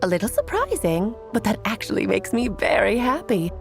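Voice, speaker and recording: A woman's voice: mid-range voice, very animated, normal speed, vocal fry, over music. A woman voicing a playful, self-admiring great mage in a video game, with a theatrical, teasing, breezy manner. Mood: delighted.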